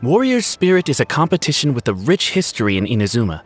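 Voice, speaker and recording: A man's voice: medium pitch, very animated, quick pace, natural voice. A man voicing a polite, obliging estate retainer in a video game, speaking formally and courteously. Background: music.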